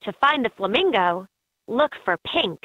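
A woman's voice: a little high, very animated, measured pace, fairly bright tone, dry recording, heavily acted. A woman voicing an upbeat guide in a children's educational animal game, in scripted narration with slightly dull game audio.

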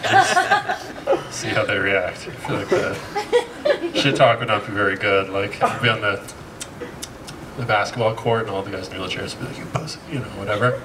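A man in his thirties with an American accent, doing a stand-up set with a halting, deadpan, self-deprecating delivery full of fillers. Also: fairly low pitch. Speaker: stand-up comedian. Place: outdoor patio stage at night. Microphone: handheld mic. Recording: distant camera mic with loud crowd laughter. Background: audience laughter.

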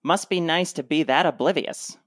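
A woman playing a blunt, snarky sitcom sibling in a comic radio play, with deadpan sarcasm. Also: sits low, moderate pace, dry recording, clean studio sound. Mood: dry, sarcastic.

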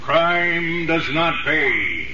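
An actor playing a sinister, mocking invisible avenger with ominous, drawn-out delivery in 1930s radio drama. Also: male voice, on the high side, big pitch swings, heavily acted. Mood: menacing, mocking. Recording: very noisy, muffled old radio recording.

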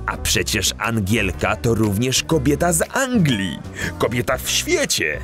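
An actor playing a polite, reasonable older detective in a children's audio drama, patiently explaining things. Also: male voice, mid-range voice, very animated, a little slow, a bit bright, soft background music.

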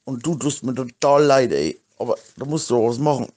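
A middle-aged man speaks at an average male pitch. He talks informally, as if leaving a private voice message, rambling along in slangy phrases and often repeating himself. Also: lively intonation, fairly quick, mellow.

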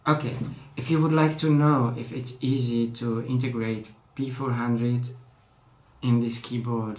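A man's voice: medium pitch, roomy sound, slight background noise. A young man from the Czech Republic with a Czech accent, speaking careful non-native English as he shows off a hobby project at his desk at home. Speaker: hobbyist vlogger. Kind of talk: casual tech demo. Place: small room at home, indoors at a desk.

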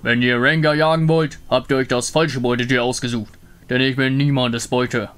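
A man's voice: mid-range voice, level tone, normal speed, a bit bright, smooth, close to an everyday voice. Game role: menacing feline predator played by a male reader, boastful, challenging, master of the jungle. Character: proud, menacing big-cat hunter of the jungle. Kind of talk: fan voice-over of a game story.

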